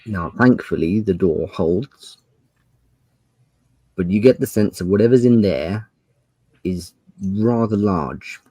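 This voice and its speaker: Man, game master, very low husky voice, tabletop storytelling, scene-setting narration. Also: slow, pauses often, mellow, no echo.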